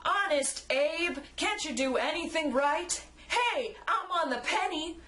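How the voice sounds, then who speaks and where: Squabbling storybook statesman played by a woman, high-pitched, indignant and argumentative. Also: lively intonation, takes it slowly, sharp tone, heavily acted.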